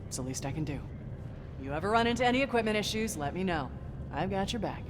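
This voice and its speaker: A woman's voice: mid-range voice, animated, fast, natural voice. Woman doing young gung-ho ship tech, game voice, naive n chatty, noisy ship hum behind her. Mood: eager, admiring. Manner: starry-eyed, chatty, naively zealous. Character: earnest, eager young patriotic starship service technician. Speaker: service technician. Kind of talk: video game character voice lines. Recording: noisy starship engine ambience behind.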